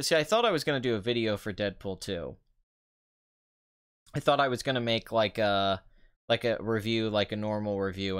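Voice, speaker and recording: A man's voice: on the low side, fairly bright tone, smooth. Nasal, stuffy-voiced man rambling casually. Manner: rambling, many fillers and restarts. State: nasal, plugged-up voice with a tremble. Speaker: movie reviewer live-streaming. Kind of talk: casual live-stream chat. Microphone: desk mic with compression.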